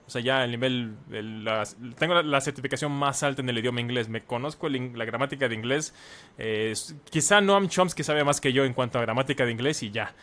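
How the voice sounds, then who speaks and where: A man's voice: medium pitch, a little fast, fairly bright tone. A man in his thirties, a language teacher and author, talking as a podcast guest and explaining at length with plenty of examples. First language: Spanish. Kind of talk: casual podcast conversation.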